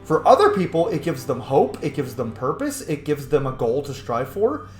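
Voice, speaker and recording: A man talks casually through a game playthrough, musing and emphatic. His pitch swings widely from phrase to phrase. The room is echoey, with faint music underneath. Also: big pitch swings, normal speed. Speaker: gamer, host of a roleplay playthrough.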